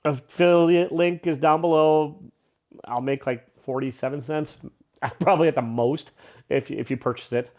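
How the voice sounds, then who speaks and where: A man's voice: on the high side, steady tone, normal speed, darkish tone. A man giving a casual, unscripted review as a vlogger, rambling along in an irreverent, self-deprecating way.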